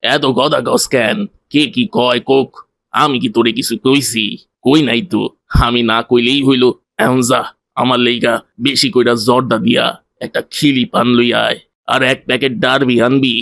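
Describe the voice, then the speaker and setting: A man voicing a greedy, spiteful minibus driver in a cartoon, with colloquial Bangladeshi speech and a gruff, bossy, threatening manner. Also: mid-range voice, flat delivery, normal speed, fairly bright tone, no room sound. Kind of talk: cartoon voice acting.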